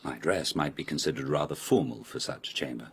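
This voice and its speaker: A sardonic lord of an old Japanese court in a radio play. His voice is very low and husky. He speaks formally, with mocking, insinuating scorn. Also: a little fast, a bit bright, exaggerated voice. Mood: scornful. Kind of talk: radio drama acting.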